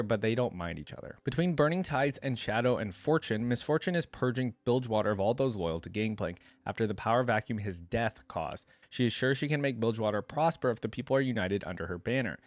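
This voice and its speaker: A man hosting a reaction video, reading aloud and adding casual, conversational commentary as he muses out loud. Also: medium pitch, expressive, fast, hardly any pauses, dry recording.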